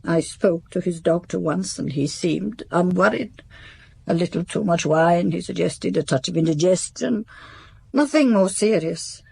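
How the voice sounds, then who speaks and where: A reserved confidante in radio drama: husky, gravelly edge, mid pitch, sombre and restrained. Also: female voice, unhurried, darkish tone, no room sound, full character voice, low-quality recording. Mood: subdued, sombre. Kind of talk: British radio drama acting.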